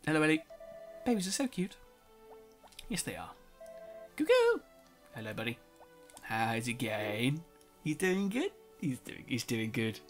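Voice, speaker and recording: A man's voice: medium pitch, wildly swooping pitch, unhurried, long pauses. A man giving casual let's play commentary, a bit nasal from a cold, reading game text aloud as he plays. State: slightly nasal, sore throat. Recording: faint music behind.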